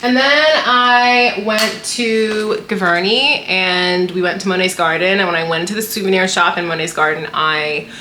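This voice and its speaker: A woman's voice: fairly low pitch, steady tone, normal speed, few pauses, sharp tone. A young woman chatting casually to camera about things she bought, bubbly and full of "like" fillers. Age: young adult. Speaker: vlogger showing a travel haul. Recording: roomy echo, faint room noise.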